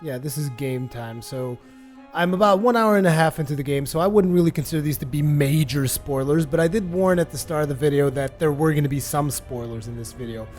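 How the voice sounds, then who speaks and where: A man's voice: on the high side, a little fast, faint music behind. Chatty male gaming commentator. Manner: relaxed and rambling, with many fillers and restarts. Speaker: gaming video host. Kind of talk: casual game review commentary.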